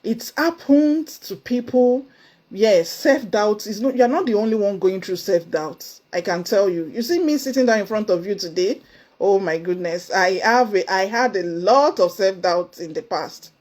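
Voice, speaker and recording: A Nigerian-accented woman sits before the camera and talks straight to her viewers in an earnest, motivational tone. She drives her points home with emphatic repetition and sudden high exclamations. Her voice sits at an ordinary middle pitch for a woman. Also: expressive, normal speed, darkish tone. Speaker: motivational speaker. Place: indoors, seated before camera.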